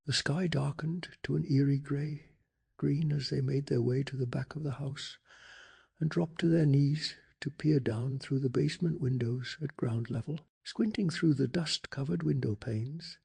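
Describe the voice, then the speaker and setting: A man narrating a bedtime story in a soft-spoken ASMR style, calm, hushed and deliberate. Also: medium pitch, vocal fry, breathy edge.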